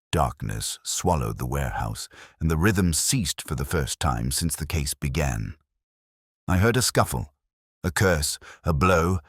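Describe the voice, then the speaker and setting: A man with an extremely low, husky voice narrates a dramatic mystery. His delivery is solemn and suspenseful, with lively rises and falls in pitch. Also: no echo, clean studio sound. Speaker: audiobook narrator.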